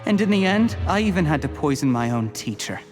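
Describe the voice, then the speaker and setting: An actor playing a cold, calculating official turned poisoner in a video game, gloating in measured, mockingly polite monologue. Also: male voice, a little high, sing-song, over music. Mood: cold, defiant. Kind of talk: scripted video game voice acting.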